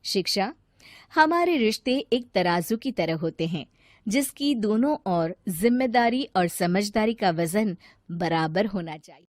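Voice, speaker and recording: A woman narrates a cartoon family tale as a storyteller. Her voice sits at a middling pitch, recorded very dry and close. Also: animated, a little slow, darkish tone, no echo.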